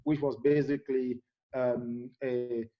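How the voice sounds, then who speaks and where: A middle-aged man from Cameroon, a guest in a conversational interview, speaking in a halting way with many fillers and restarts. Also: medium pitch, flat delivery, lo-fi sound.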